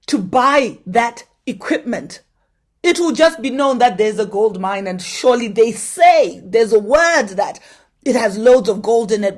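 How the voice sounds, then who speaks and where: Woman, slightly high voice; earnest motivational speaker urging a conference crowd to act. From Zimbabwe. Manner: earnest, exhorting, asks the audience direct questions.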